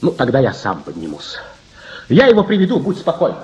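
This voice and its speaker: A man's voice: mid-range voice, huge pitch swings, fairly quick, darkish tone, slight background noise, rough recording. An actor playing an earnest, patient young man in a radio play. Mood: patient, reassuring. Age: young adult.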